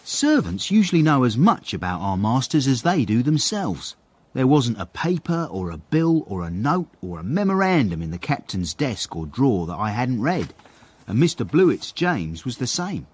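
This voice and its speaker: Sly, husky manservant. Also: male voice, mid-range voice, sing-song, moderate pace, dry recording. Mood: wry, confiding. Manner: wry, mock-grand storytelling. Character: sly, knowing, self-justifying manservant narrator. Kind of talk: period radio drama narration addressed to the listener.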